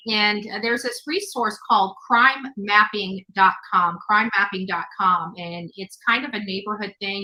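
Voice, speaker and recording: Bright, forceful woman host. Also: mid-range voice, rough recording. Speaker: talk show host. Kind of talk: conversational commentary with a guest.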